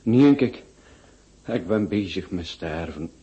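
A man's voice: deep voice, animated, unhurried, mellow, highs cut off. An actor with a Flemish accent playing a poor, timid man dying in a hospital, who stammers in weary dialect. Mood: weary, glum. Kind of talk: radio drama acting.

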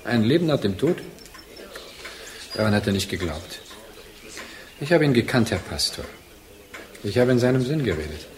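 An actor playing a rational, argumentative man in a radio play, speaking in a deliberate, philosophizing manner. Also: medium pitch, big pitch swings, darkish tone, slight background noise, natural voice. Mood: reasoned, insistent. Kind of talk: German radio play dialogue.

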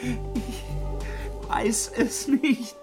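A cornered, frightened journalist in a radio drama. The voice is high for a man, slow and halting, pleading under pressure. Music is clearly heard behind it. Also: fairly even intonation, exaggerated voice. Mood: desperate confessing. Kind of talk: German radio drama acting.

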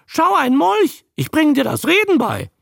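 Shrill croaking talking salamander. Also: male voice, huge pitch swings, unhurried, dry recording, studio quality, exaggerated voice. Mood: eager, chattering. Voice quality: croaking, squawky. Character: talking giant salamander, childlike parroting, polite, eager. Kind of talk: audiobook character voice.

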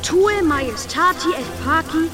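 A woman playing an earnest young schoolboy scholar reciting a formal, measured oration in a radio drama. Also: very high-pitched, expressive, over loud music. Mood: solemn.

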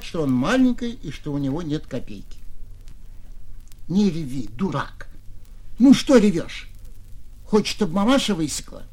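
A male actor narrating a short story for radio. His fairly high voice is highly animated, and he tells the tale in an expressive, theatrical way.

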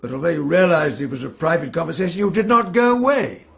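Formal British coroner, highish creaky voice, measured questioning, stern, radio drama. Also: male voice. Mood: probing, insistent. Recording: dull, band-limited radio drama recording.

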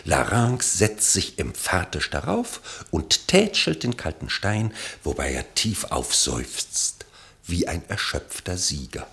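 A man narrates a radio play as a literary storyteller. His low voice has a gravelly edge and moves expressively up and down, with an ironic, elevated delivery. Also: big pitch swings, slow pace, a bit bright.

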